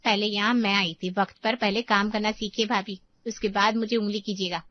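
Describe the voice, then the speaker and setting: A woman's voice: mid-range voice, fairly even intonation, brisk, lo-fi sound, close to an everyday voice. A woman voicing a competitive, self-righteous daughter-in-law in a dramatised family story. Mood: indignant.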